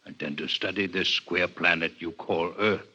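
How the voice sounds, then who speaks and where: Male alien doctor, low husky voice, pedantic, polite, formal diction, vintage radio drama. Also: fairly even intonation, moderate pace, bright, forceful tone, heavily acted. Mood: lecturing. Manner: formal, precise, pedantic phrasing. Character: courteous, learned male alien doctor from another planet. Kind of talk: 1950s radio drama acting. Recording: muffled 1950s radio recording.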